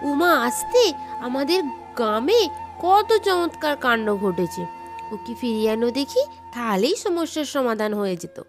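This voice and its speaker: A woman playing a high-voiced, doting village mother. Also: very animated, normal speed, music in the background. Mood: reproachful. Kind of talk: Bengali moral-story cartoon acting.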